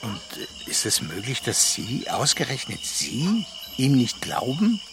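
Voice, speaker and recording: A man's voice: medium pitch, huge pitch swings. An actor playing an earnest, troubled, reflective man who narrates and speaks in a radio drama. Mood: earnest, probing. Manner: earnest, deliberate. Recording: clear background noise.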